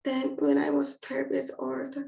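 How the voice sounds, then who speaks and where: Woman in her twenties, heavy vocal fry, slow deliberate speech. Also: sits high, lively intonation, dark tone. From North Korea. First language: Korean. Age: 20s. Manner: measured and earnest, read from a prepared text. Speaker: invited speaker. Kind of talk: prepared personal testimony speech. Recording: echoey dull lo-fi audio.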